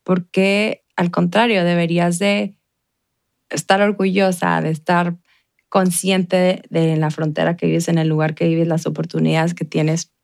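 A woman's voice: on the low side, little pitch movement, measured pace, a little mellow, no echo, clean studio sound. A woman from the Mexican border region hosting a podcast, asking questions and encouraging her guest with frequent murmurs of agreement. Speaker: podcast host. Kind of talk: casual interview.

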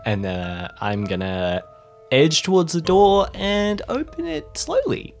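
A man's voice: a little high, lively intonation, takes it slowly, gravelly, soft background music. A man playing a tabletop roleplaying game, speaking casually as he improvises his character's actions.

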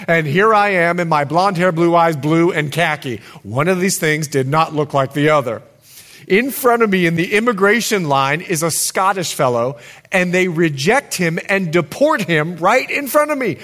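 A man from the Bahamas with a Bahamian accent, preaching and telling a story in a dramatic, animated way. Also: on the high side. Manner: animated, dramatic, acts out scenes. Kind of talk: sermon storytelling.

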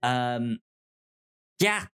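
A man co-hosting a podcast in casual chat, halting and repeating words as he thinks. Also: medium pitch, expressive, a bit bright, clear, resonant tone. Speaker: podcast co-host. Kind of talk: casual chat reviewing a TV show.

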